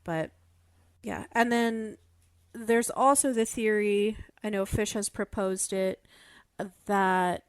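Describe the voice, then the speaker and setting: A woman's voice: mid-range voice, no echo. Woman theorizing in a close, dry recording. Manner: thoughtful, hedging, building theories step by step. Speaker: podcast panelist discussing a fiction show. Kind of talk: casual analytical discussion.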